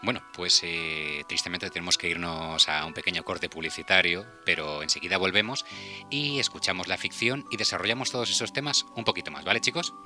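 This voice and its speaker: A man's voice: sits low, brisk, pushed and bright, faint music behind. A man with an accent from Spain, hosting a casual radio chat show. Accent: Spanish (Spain).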